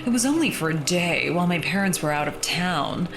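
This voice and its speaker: A woman's voice: on the low side, expressive, brisk, poor audio. A woman playing a macabre horror show hostess, darkly playful and theatrical, delivering a scripted comic introduction. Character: macabre horror hostess with gleefully morbid humour. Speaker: host of a creepy story show.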